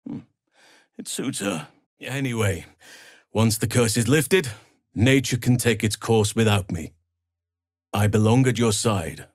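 An actor playing a calm, earnest and wise male druid healer, protective in temper, for a video game. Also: lowish voice, a little slow, long pauses, no echo, clean studio sound. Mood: calm, gentle. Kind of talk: video game character voice lines.

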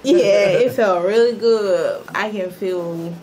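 A woman's voice: medium pitch, takes it slowly. A woman patient in her thirties, speaking casually in spontaneous, exclamatory reactions. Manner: expressive, exclamatory. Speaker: chiropractic patient. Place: small treatment room, indoors. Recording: light room noise.

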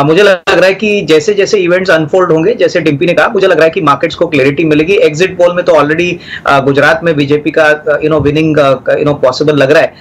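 Man, middle-aged, market analyst, steady advisory tone, slightly high voice, studio lapel mic. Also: rough recording. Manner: steady advisory tone, Hindi mixed with English terms. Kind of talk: stock market advice on business TV.

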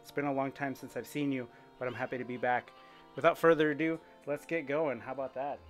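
A middle-aged man streaming a game while cycling at home, chatting upbeat and thinking out loud. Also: medium pitch, fairly even intonation, a little fast, soft background music. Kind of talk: casual gaming commentary while cycling. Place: home room with indoor bike.

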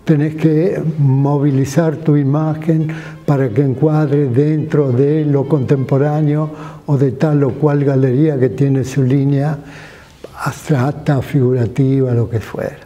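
An older Argentine man speaks slowly and thoughtfully, pausing with fillers as he searches for words. His voice is very dark and mellow. He sits in a studio surrounded by sculptures. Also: medium pitch, flat delivery. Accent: Argentine Spanish. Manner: slow, pausing, with hesitation fillers. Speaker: sculptor, interviewee. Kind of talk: reflective interview answers.

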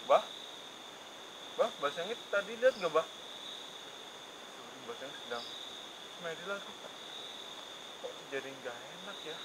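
A man's voice: fairly high pitch, lively intonation, measured pace, pauses often, heavy background noise. A man on a night ghost hunt in a forest, nervously calling to his companion and muttering prayers. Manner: nervous, keeps calling the medium, repeated prayers. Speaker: ghost-hunting vlogger. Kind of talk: night ghost-hunting vlog.

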